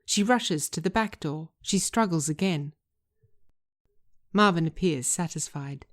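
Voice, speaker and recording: A woman's voice: fairly low pitch, measured pace. A woman reading scripted screenplay narration as its writer and narrator.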